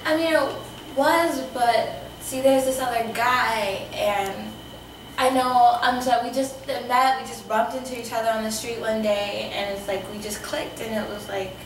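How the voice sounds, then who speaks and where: A young woman playing a girlfriend breaking up with her boyfriend in an amateur short film, talking in a halting, conversational way. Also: a little high, steady tone. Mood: awkward, apologetic. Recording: very echoey room, distant mic, noisy.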